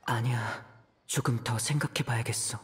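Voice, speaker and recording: A man playing a serious, thoughtful young traveler, reasoning aloud in a measured way in a video game. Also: medium pitch, steady tone, a bit bright, natural voice. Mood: pondering. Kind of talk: video game inner deduction monologue.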